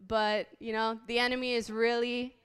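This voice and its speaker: Woman in her late 20s from haiti sharing her testimony, super clear smooth voice, earnest, lots of ums. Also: on the high side, level tone, normal speed, fairly bright tone. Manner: earnest, heartfelt storytelling with frequent ums. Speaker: guest speaker. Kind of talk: personal testimony talk.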